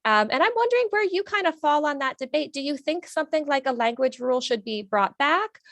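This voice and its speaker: A woman's voice: high-pitched, animated, fairly quick, a bit bright, dry recording. Chatty woman interviewer, dry close sound. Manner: chatty, thoughtful questions with fillers. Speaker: interviewer host. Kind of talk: casual interview.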